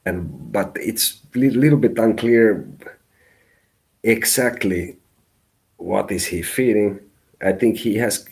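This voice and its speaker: A man from Finland whose first language is Finnish, giving a live tarot reading in halting English as he searches for words. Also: on the low side, a little mellow. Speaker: tarot reader.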